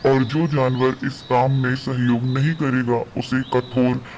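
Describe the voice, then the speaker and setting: A man's voice: medium pitch, little pitch movement, slow pace, darkish tone. A man playing an authoritative lion king in a children's cartoon story, speaking formally in regal declarations. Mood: commanding, approving. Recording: dull lo-fi sound, faint background noise.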